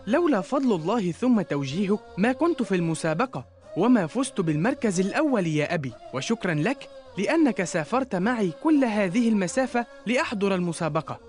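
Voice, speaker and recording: A woman voicing an earnest, polite schoolboy in a children's cartoon, speaking expressive formal Arabic. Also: medium pitch, fast, soft background music, close to an everyday voice. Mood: happy, grateful. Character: earnest, polite young schoolboy, easily downcast.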